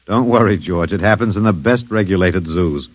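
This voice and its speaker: An American actor in an old radio drama playing a wry, resigned professor who talks hesitantly and with dry humor. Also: male voice, low voice, moderate pace, no room sound. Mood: dry, bemused. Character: wry, resigned male anthropology professor, last man on Earth. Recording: dull, dry vintage radio recording.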